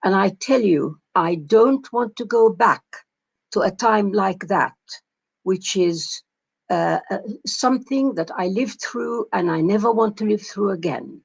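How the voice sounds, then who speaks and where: An older woman from Palestine giving a measured, reflective conference keynote, pausing often with fillers as she speaks. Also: medium pitch, expressive, vocal fry, husky.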